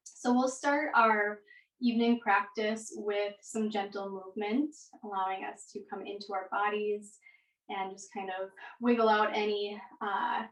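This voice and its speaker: An echoey room surrounds this woman's voice, which sits some way from the microphone. She has a medium pitch and instructs a yoga class with gentle, unhurried cues, leaving space between phrases. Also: far from the mic.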